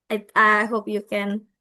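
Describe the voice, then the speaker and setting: A woman explaining things informally as an organizer in an online session, in halting non-native English. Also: medium pitch, monotone, bright, forceful tone. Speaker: organizer answering questions.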